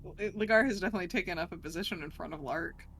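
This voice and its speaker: A woman's voice: on the low side, very fast, a bit bright, slight background noise. A woman playing a tabletop roleplaying game, chatting casually about what her character does.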